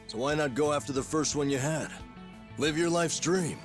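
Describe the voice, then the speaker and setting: A man's voice: fairly high pitch, normal speed, lightly acted. An actor playing a laid-back, teasing middle-aged man in a game cutscene with music behind. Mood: encouraging. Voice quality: easygoing, teasing, laughs easily. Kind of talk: video game cutscene dialogue.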